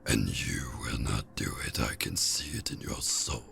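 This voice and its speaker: Booming, very deep ancient skull. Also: male voice, lively intonation, creaky, sharp tone, in a whisper, exaggerated voice. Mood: cold, bargaining. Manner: slow, weighty pronouncements. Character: great ancient skull atop a pillar, imperious and grim.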